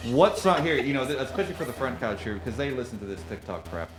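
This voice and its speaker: A man hosting a live charity gaming marathon, his voice bouncing high and low as he teases his co-hosts and reads out donations. He talks fast and casually, quick to laugh. Game music plays clearly behind him. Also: big pitch swings. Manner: playful, teasing, quick to laugh. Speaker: marathon co-host reading donations. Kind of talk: casual live-stream banter.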